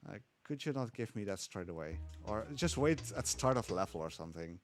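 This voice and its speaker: A man explaining his speedrun live in a relaxed, chuckling way, with a casual tone. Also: lowish voice, fairly quick, music in the background. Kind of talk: casual live gameplay commentary.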